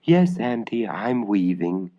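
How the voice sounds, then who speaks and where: A man's voice: lowish voice, big pitch swings, slow pace, warm tone. A man voicing a sweet, polite, obedient young girl in a storybook tale. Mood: sweet, dutiful. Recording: dull vintage vinyl record transfer.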